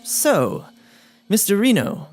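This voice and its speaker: A man's voice: high-pitched, very animated, slow, over loud music, heavily acted. A man playing a stern courtroom prosecutor in a scripted comedy, speaking in a formal, indignant manner. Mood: formal, probing.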